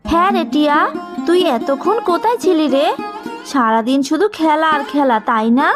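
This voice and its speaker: Woman doing a mean stingy auntie from a cartoon, high sharp-tongued scolding, music behind. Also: lively intonation, moderate pace. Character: harsh, scolding, miserly aunt of orphan girl. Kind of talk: Bengali cartoon drama dubbing.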